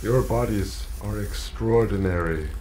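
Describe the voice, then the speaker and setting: Man playing a slow, solemn, low alien hive-mind. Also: darkish tone, faint music behind, lightly acted. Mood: reverent. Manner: slow, measured, grave, formal delivery. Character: calm alien hive-mind speaking through a man's body, devoted, solemn. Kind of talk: audio drama acting.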